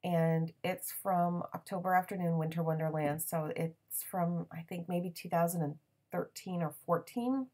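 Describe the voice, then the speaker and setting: A woman in her thirties hosting a crafting channel, chatting casually in a voiceover with a raspy morning voice, full of ums and little laughs. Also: lowish voice, moderate pace, darkish tone.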